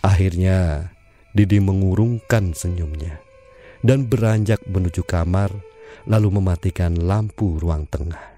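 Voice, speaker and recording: Man, audio drama narrator, low pitch, calm measured delivery, soft background music. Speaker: storyteller.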